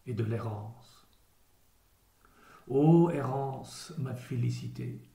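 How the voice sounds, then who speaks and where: A man reciting literary poetry solemnly, with measured, declamatory phrasing and deliberate pauses. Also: mid-range voice, gravelly, warm tone. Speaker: poetry reader. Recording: roomy echo around the voice.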